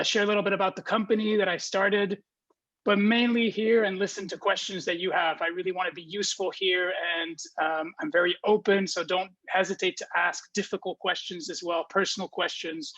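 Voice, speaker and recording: Man in his twenties, from Belgium, high voice, relaxed talk with fillers, muffled lo-fi recording. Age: 20s. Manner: friendly, relaxed, many ums and restarts. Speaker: guest speaker. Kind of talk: informal talk to students.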